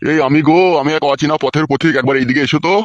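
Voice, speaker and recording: A man's voice: on the high side, little pitch movement, very fast, lo-fi sound, a little hiss or hum, close to an everyday voice. A man playing a lovesick, melodramatic old village uncle in a comedy cartoon, pleading. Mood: inquiring. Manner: wailing, pleading.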